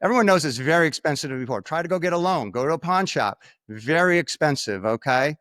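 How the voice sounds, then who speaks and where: An American man talking as an interview guest, haltingly repeating words for emphasis and often checking with a tag question. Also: a little high, animated, fairly bright tone, dry recording, studio quality. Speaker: podcast guest, finance expert. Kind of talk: conversational interview talk.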